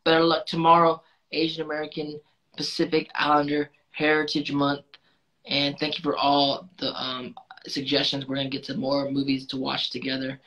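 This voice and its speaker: A man's voice: fairly high pitch, completely flat delivery, moderate pace, pushed and bright, low-quality recording. A man hosting a casual call-in live stream, laid-back and friendly, calling people dude and man. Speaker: host.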